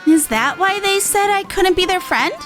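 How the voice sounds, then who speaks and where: A woman voicing an excitable, cheerful little pixie from a game, in a bubbly, theatrical manner, easily exasperated. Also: very high-pitched, moderate pace, faint music behind, voice pushed up. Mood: puzzled, upbeat. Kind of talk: reading game dialogue in character.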